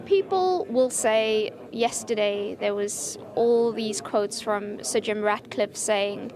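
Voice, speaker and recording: A woman working as a senior sports reporter, speaking in a measured, emphatic broadcast style with dramatic pauses. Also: medium pitch, crowd noise. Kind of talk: broadcast news reporting and interviewing.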